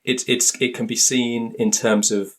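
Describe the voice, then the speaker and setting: A man's voice: medium pitch, little pitch movement, measured pace, a bit bright. A British man speaking as a podcast guest, thoughtful and hesitant, often rephrasing as he explains. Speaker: interview guest, linguist and author. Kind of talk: conversational podcast interview.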